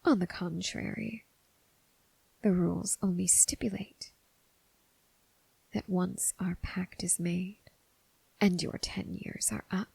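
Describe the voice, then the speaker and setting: Breathy, whispering seductive demoness, acted by a woman. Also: on the low side, steady tone, darkish tone, dry recording, exaggerated voice, low-quality recording. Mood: possessive, seductive. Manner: slow, deliberate, teasing, coaxing. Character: seductive female demon, predatory, teasing, possessive deal-maker. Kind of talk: ASMR roleplay, intimate whispered monologue addressed to listener.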